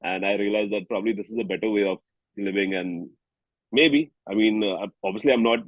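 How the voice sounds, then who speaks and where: A man with an Indian accent chatting casually as an interview guest, halting and candid as he searches for words. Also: fairly low pitch, dry recording, narrow, tinny audio. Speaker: singer-songwriter guest.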